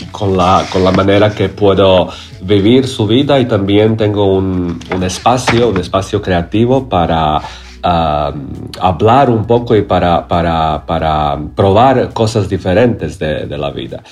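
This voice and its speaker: A man from Croatia, a playwright, speaking haltingly in an as an interview guest, often searching for words. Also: low-pitched, darkish tone, soft background music. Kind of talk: interview conversation.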